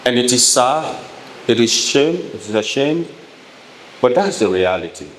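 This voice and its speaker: A Sierra Leonean man addresses a town hall in formal, emphatic oratory. His mid-pitched voice rings around an echoey room, with some faint noise behind it. He speaks in deliberate, measured phrases, as a politician reading a prepared address. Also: very animated, fairly bright tone.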